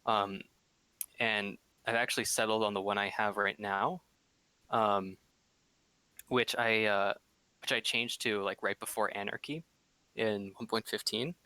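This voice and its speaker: A man's voice: fairly low pitch, steady tone, a little slow, pauses often, bright, forceful tone, smooth. A young man talking as a podcast guest, chatting casually with hesitant, rambling phrasing. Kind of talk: casual chat.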